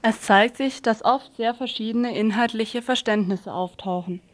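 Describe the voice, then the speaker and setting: A woman's voice: fairly high pitch, normal speed. A woman hosting a political radio programme, reading scripted narration. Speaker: radio host.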